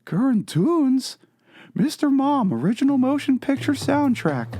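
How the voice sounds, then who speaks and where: A man's voice: very high voice, big pitch swings, a little slow, over music, full character voice. A man playing a lonely, mopey cartoon blogger in a dramatic reading, droll and self-pitying. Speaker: performer in a podcast dramatic reading. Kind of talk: dramatic reading of a comic blog.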